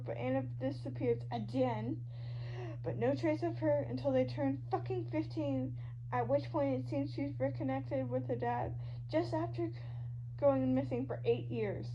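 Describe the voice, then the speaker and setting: A woman giving an animated, dramatic, slangy reading of online fan fiction, shifting between characters. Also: sits high. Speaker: podfic reader. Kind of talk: expressive fan fiction reading of social media posts. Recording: dull lo-fi audio with loud hum. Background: loud hum and noise.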